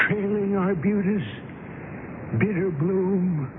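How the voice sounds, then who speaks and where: Elderly nature lover, 90, high voice, courteous and earnest, old radio drama on a noisy, narrow-band broadcast. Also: male voice, slow pace. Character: kindly, impassioned ninety-year-old nature-loving country gentleman.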